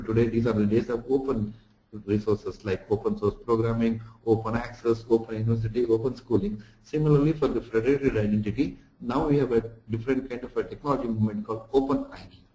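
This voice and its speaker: Male online-course lecturer, medium pitch, dark relaxed timbre, didactic delivery with examples and recaps. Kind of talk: slide lecture for an online lesson. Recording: echoey room sound.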